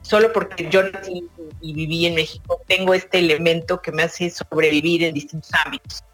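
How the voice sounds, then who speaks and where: A woman's voice: fairly low pitch, steady tone, normal speed, fairly bright tone, soft background music, low-quality recording. A woman with a Mexican accent interviewing someone conversationally, phrasing questions in a wordy, roundabout way.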